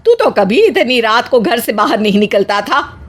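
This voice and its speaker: A woman's voice: a little high, big pitch swings, normal speed. A woman playing a greedy, stern and suspicious older mother-in-law in a comic story, with theatrical scolding and exclamations. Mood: suspicious, sarcastic. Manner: scolding, exaggerated exclamations, sarcastic. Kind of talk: Hindi comic story acting.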